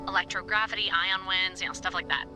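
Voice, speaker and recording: A woman's voice: mid-range voice, steady tone, fast, over music, lightly acted. A woman acting a friendly, chatty environmental researcher giving a briefing by walkie-talkie in a video game. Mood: friendly, upbeat. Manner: chatty, rambling, upbeat. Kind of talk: naturalistic video game dialogue.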